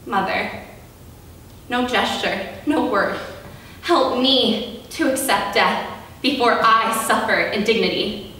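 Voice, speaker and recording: A woman playing a proud, resolute captive young princess in a classical stage tragedy, with solemn, formal, deliberate delivery. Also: a little high, sharp tone, heavily acted. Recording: echoey room, distant mic, faint noise.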